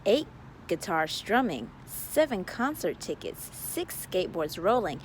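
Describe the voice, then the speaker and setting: A woman hosting a children's story time, reading a picture book aloud in a playful, drawn-out sing-song delivery. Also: fairly low pitch, big pitch swings, a little hiss or hum, rough recording.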